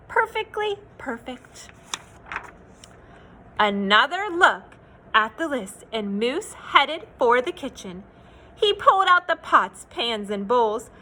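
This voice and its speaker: A woman reading a children's picture book aloud in an expressive, playful, sing-song way, with asides to young listeners. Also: high-pitched. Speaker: children's read-aloud storyteller.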